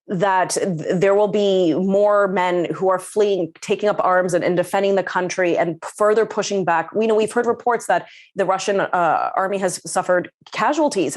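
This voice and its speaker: A woman hosting a television news interview, brisk and guiding, with occasional fillers as she frames her questions. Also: medium pitch. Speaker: news host.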